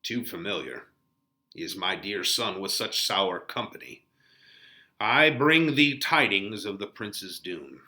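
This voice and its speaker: Man acting slow, solemn friar counselling. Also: on the low side, big pitch swings, sharp tone, voice pushed down, full character voice. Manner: measured, solemn, admonishing. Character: older friar, patient but exasperated father-figure counsellor. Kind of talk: dramatic Shakespeare reading.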